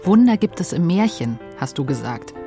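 A woman playing a wistful grandchild in a radio play, reflective and measured in short phrases. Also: deep voice, big pitch swings, mellow, soft background music.

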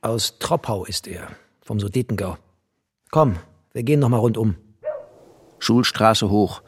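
A man's voice: fairly low pitch, measured pace, a little hiss or hum. A man playing a bookish, wry refugee narrator in a literary radio play, speaking in an intimate, sardonic way. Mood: lyrical, tender.

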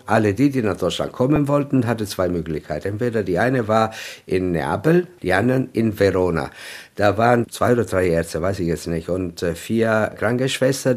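A man's voice: on the low side, a little mellow. A man in his seventies from Sicily, a native Italian speaker with an Italian accent, recalling his past in an interview at home with hesitant, searching phrasing. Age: 70s. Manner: hesitant, with fillers and searching for words. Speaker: interviewee, former guest worker. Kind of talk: reminiscing in an interview.

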